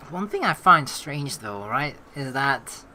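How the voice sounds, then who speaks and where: A let's player reading game text aloud and giving casual, rambling, halting commentary as they think out loud. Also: medium pitch, big pitch swings, a little hiss or hum.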